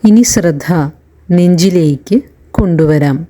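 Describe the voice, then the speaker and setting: A woman guides a relaxation session in a low, very mellow voice. She speaks extremely slowly and soothingly, leaving long pauses between short phrases, as a meditation instructor does. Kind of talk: slow guided relaxation narration.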